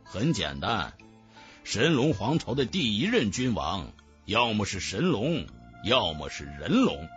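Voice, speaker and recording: A man voicing a calm, self-assured young male hero in a fantasy audiobook, speaking in a measured, knowing way. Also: lo-fi sound, faint music behind. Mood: matter-of-fact. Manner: low-key, measured, cool. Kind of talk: fantasy audiobook character acting.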